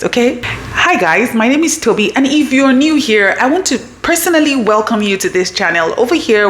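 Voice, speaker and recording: A woman in her thirties talks straight to her viewers like a business coach, emphatic and encouraging, often tagging her points with a quick "okay?". Her pitch sits in a middle range. A faint hiss of background noise runs under her voice. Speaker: business coach and channel host. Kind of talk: motivational talk to camera.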